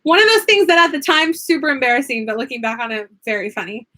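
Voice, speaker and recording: A woman's voice: high-pitched, fast, pushed and bright. A young American woman chatting casually while making crafts, laughing often and drifting into tangents. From United States. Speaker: craft vlogger and online teacher.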